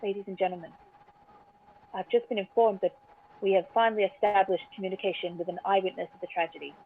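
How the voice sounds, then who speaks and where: Through a muffled, phone-like line comes a formal female news announcer played by a woman in a vintage radio drama. She reads grave bulletins with official seriousness at a middling pitch. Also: fairly even intonation, fast talker, slight background noise, close to an everyday voice.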